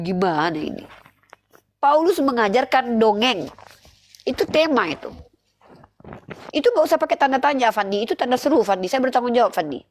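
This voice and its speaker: A woman arguing insistently as host of a live religious debate, often repeating her questions. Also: a little high.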